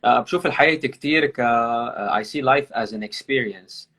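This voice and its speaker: A man from Lebanon with a Lebanese accent, a guest talking over a video call, mixing English and Arabic with many pauses. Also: medium pitch, bright, forceful tone. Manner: switches between English and Arabic mid-sentence; talks with many hesitations and restarts. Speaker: podcast guest. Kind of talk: casual interview over a call.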